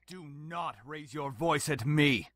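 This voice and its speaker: A man's voice: fairly high pitch, slow pace, fairly bright tone, no room sound. A man playing a stern, contemptuous middle-aged father in a game, with a scolding, dismissive manner. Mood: angry, scornful. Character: stern, domineering, contemptuous father of a grown daughter. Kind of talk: dramatic game voice acting.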